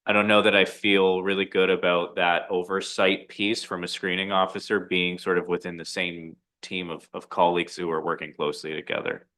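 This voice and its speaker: Hesitant man with a deep flat voice. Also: normal speed, hardly any pauses. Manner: hesitant, full of restarts and fillers. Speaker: councillor. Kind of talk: council meeting discussion.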